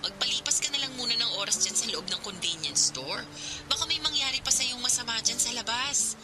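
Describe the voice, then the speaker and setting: An actress in a Filipino radio drama playing a worried, protective older sister who questions and urges with concern. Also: female voice, on the high side, animated, fairly quick, loud music behind the voice, close to an everyday voice. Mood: urging.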